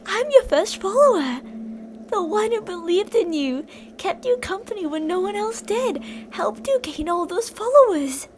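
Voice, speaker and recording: A woman's voice: extremely high pitch, expressive, a little slow, a little hiss or hum. A woman playing an obsessive, possessive female fan turned kidnapper in an intimate, dramatic ASMR roleplay monologue. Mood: defensive, insistent. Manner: intimate, swinging between insistent pleading and soft whispery menace.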